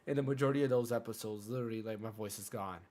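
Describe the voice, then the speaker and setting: A man hosting a casual gaming podcast, chatty and rambling, a bit hoarse from a cold. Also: on the low side, racing, dark tone. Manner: chatty, rambling, self-interrupting. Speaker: podcast host.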